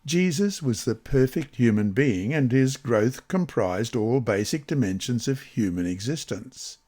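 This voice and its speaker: A man from Australia reads a scripted Bible study lesson aloud, with measured, deliberate phrasing and emphatic pauses. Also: medium pitch, lively intonation, dark tone. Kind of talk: scripted devotional reading.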